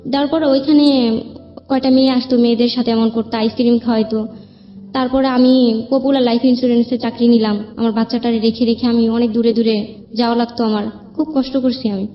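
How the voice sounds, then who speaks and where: A woman's voice: on the high side, steady tone, a little fast. A young woman with a Bangladeshi accent tells her life story in an interview in a colloquial, rambling way. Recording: muffled lo-fi audio, music behind. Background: background music.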